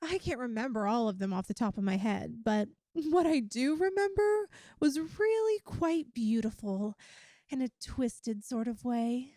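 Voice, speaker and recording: A woman playing a doting, possessive young yandere girlfriend in an ASMR-style roleplay, speaking to the listener in a cutesy, coaxing, teasing way with drawn-out emphasis. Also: a little high, expressive, dark tone, no room sound, studio quality. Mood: amused, admiring.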